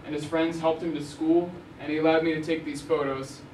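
A man's voice: on the high side, level tone, a little fast, a little mellow. A young man giving a casual slide lecture with frequent ums and restarts, heard from a distance in a university lecture hall. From Arizona, USA. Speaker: journalist giving a talk. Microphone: room mic at a distance. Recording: echoey hall, mic far off, noisy.

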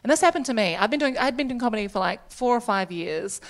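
A woman's voice: a little high, lively intonation, fast talker. A young woman with a New Zealand accent telling a stand-up comedy story on stage into a handheld microphone, dry and self-deprecating. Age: young adult. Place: theatre stage, indoors, live audience.

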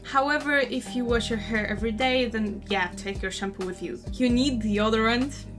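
A young woman in her twenties with a Polish accent, giving chatty, animated advice in a casual vlog from her bedroom. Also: on the high side, moderate pace. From Poland. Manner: chatty, animated, gestures a lot while talking. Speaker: cosplay vlogger. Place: bedroom at home. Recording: background music under the voice.

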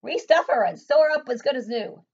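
A woman's voice: high voice, expressive, brisk, darkish tone, husky, muffled recording, pitched above the performer's own voice, exaggerated voice. An older woman voicing a huge, fierce and protective mother bear in a storybook, roaring commands. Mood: commanding.

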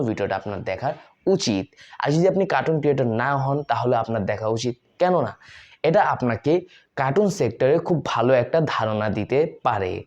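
A man presents a video introduction at a brisk pace. His pitch is medium, and he mixes English phrases into his address to viewers. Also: a little mellow, lo-fi sound. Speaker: tutorial video host.

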